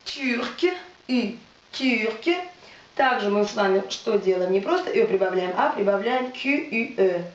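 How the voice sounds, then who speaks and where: A woman teaching French in a video lesson, patiently spelling out words and saying them slowly for the listener to repeat. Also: mid-range voice. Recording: roomy, distant, dull with faint hiss.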